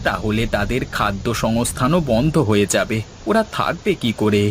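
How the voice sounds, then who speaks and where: A man's voice: lowish voice, expressive, moderate pace, a little hiss or hum, natural voice. An actor, a man, playing a helpful, deferential village fish seller in cartoon dialogue, eager and respectful. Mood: earnest.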